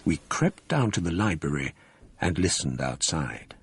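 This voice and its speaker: A man narrating his own past in a measured, storytelling way, as in a radio drama. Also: very deep voice, big pitch swings, breathy edge, voice pushed down, full character voice. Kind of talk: scripted first-person narration in a radio drama.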